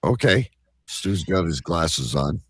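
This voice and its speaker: Very deep, gravelly male show host. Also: animated, fairly bright tone, no room sound. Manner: rambling, halting. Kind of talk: casual live show chat.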